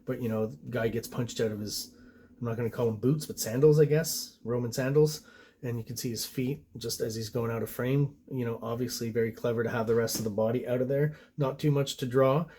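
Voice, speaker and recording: A man's voice: mid-range voice, steady tone, a little fast, warm tone, a little hiss or hum. Quebec guy, french first language, chatting about comics, rambly with lots of uhs. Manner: rambling, many fillers and asides. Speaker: host and comic artist. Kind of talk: casual comic book review.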